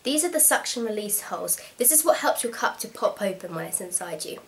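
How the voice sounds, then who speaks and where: A young woman talks straight to camera in a chatty, frank, practical way, her voice a little hoarse from a cold. She explains things step by step at an everyday medium pitch, recorded at home on a camera mic. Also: expressive, a little fast, fairly bright tone. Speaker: vlogger. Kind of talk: casual instructional vlog.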